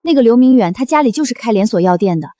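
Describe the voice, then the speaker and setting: An actress playing an outspoken, practical young sister-in-law from the city, with an expressive, persuasive manner. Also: female voice, fairly high pitch, rapid-fire, dry recording, clean studio sound, natural voice. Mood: eager, persuading. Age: young adult. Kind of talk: scripted drama acting.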